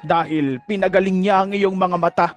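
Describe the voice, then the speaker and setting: A man's voice: sits high, fairly even intonation, normal speed, faint music behind, natural voice. A man playing a questioning, doubtful religious official in an audio drama. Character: questioning, doubtful male Pharisee. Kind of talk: Tagalog audio Bible drama.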